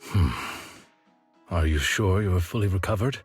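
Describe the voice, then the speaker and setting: Deep, reserved, stoic man. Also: a little slow, husky, no echo, soft background music. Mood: stern, concerned. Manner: formal, reserved, deadpan. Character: stoic, dutiful man, gruffly caring old partner. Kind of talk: video game voice acting.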